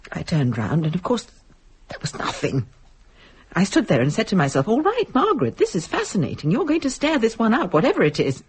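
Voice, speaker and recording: An actress playing a sharp-tongued married woman, frightened and defensively rationalising, with a husky voice in a dramatic audio-play delivery. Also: medium pitch, wildly swooping pitch, brisk, slight background noise. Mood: haunted, fearful. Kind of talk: stage-style audio drama acting.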